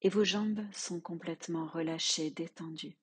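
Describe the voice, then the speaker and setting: A woman teaching yoga nidra, leading a guided relaxation in a soft, calm, measured way with long pauses. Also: on the low side, husky.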